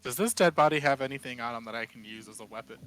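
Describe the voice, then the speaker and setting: A man's voice: mid-range voice, very fast, fairly bright tone, faint music behind, poor audio. A man chatting and bantering casually as a player in a tabletop game. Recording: clear background noise with clicks.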